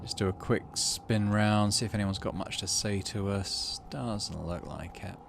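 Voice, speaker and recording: A man's voice: fairly low pitch, a little slow, vocal fry, background noise. A man casually commentating on a game, thinking aloud in murmured asides and reading story text out. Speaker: gaming channel host.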